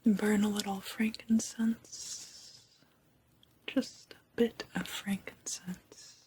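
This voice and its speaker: Slow whispering ASMR woman. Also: medium pitch, flat delivery. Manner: slow, hushed, repeats phrases softly. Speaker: ASMR video host. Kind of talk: whispered ASMR guided meditation.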